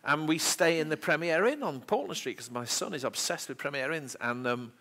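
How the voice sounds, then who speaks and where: A man's voice: fairly high pitch, lively intonation, a little fast, pushed and bright. A middle-aged Englishman from the Manchester area telling rambling, self-deprecating stories to an audience at a public talk, speaking into a headset mic in a small lecture room. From England, Manchester area. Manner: rambling, self-deprecating anecdotes with many asides; gestures with his hands while talking. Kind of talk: storytelling talk before an audience.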